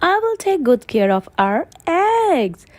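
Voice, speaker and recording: A woman voicing a kindly, caring father seahorse in a children's storybook, with a gentle, cheerful, encouraging manner. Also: very high voice, sing-song, slow, dry recording, voice pushed up, exaggerated voice.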